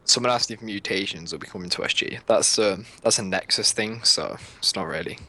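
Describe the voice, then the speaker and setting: Bright, slightly low man, relaxed co-host explaining server details, keyboard clacking behind. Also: fairly quick. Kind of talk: casual chat answering questions.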